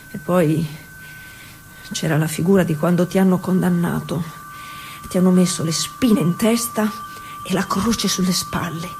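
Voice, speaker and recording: Feisty, confiding woman cyclist. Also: fairly high pitch. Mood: tender reminiscence. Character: feisty, devout working-class woman cyclist, confiding in Jesus. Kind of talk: theatrical monologue, intimate and colloquial.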